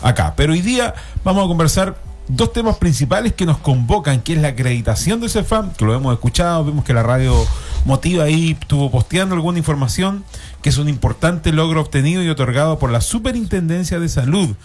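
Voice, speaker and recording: Chilean radio host with formal delivery. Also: male voice, fairly high pitch, animated, a little fast, darkish tone. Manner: formal, with frequent fillers. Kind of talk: radio panel hosting.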